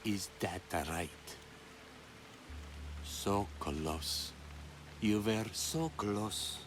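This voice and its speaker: A man playing a menacing, sly, cruel villain in a video game, taunting theatrically and dropping into hushed tones. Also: on the low side, extremely slow. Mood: sinister gloating. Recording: clear noise, rain patter behind.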